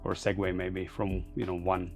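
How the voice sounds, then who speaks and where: A man speaks in a fairly low voice with a clear creak to it. He answers interview questions informally, as a company founder would, circling through his thoughts with loose, hesitant phrasing. Faint music sits behind him. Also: monotone, moderate pace. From Norway. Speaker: startup founder being interviewed.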